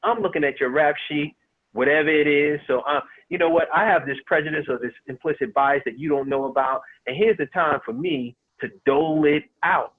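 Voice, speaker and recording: Husky man over a phone-like call. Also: on the high side, brisk. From New York. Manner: street slang, acts out imagined judges. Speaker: lawyer co-host. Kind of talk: animated legal talk show commentary. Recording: phone-like remote audio.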